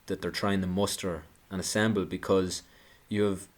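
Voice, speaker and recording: A man's voice: low voice, flat delivery, moderate pace. A man giving an indignant, sarcastic political commentary, talking with frequent restarts. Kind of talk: monologue commentary.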